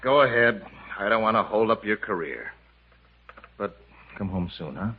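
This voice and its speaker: An actor playing a romantic, easygoing innkeeper in love, in a 1950s radio musical. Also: male voice, on the low side, expressive, normal speed, narrow, tinny audio, a little hiss or hum. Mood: resigned.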